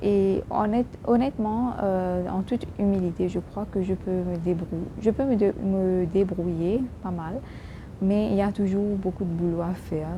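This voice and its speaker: Woman vlogger, strong english-speaker accent in french, keeps restarting sentences, noisy rumbly background. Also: fairly even intonation, dull audio. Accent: non-native French, strong. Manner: halting, many restarts and fillers. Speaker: YouTube vlogger. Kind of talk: casual talk to camera.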